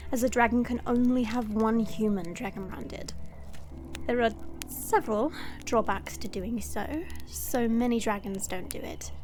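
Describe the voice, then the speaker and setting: A haughty princess, a dragon in human form, acted by a woman, speaks in a high voice. Her delivery is formal and imperious, as in a fantasy audio drama, with music underneath. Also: close to an everyday voice. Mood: grave explaining. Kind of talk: audio roleplay drama acting. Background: background music.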